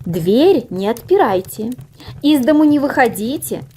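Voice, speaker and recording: A woman's voice: on the high side, expressive, unhurried, dark tone, a little hiss or hum. A woman voicing a stern mother goat in a storybook, firmly admonishing her children. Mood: stern, questioning. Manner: admonishing, firm.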